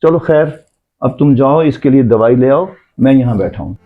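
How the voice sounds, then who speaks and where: A man playing a caring, cautious old grandfather who gently admonishes his family in a scripted moral drama. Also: medium pitch, expressive, phone-quality sound, natural voice. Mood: chiding, forgiving. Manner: admonishing, fatherly.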